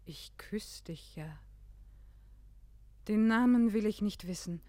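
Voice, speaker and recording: An actress in a German radio play, playing a wistful schoolteacher who is no longer young, hesitant and dreamy in manner. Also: female voice, medium pitch, slow, darkish tone, no echo, close to an everyday voice. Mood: intimate, yearning.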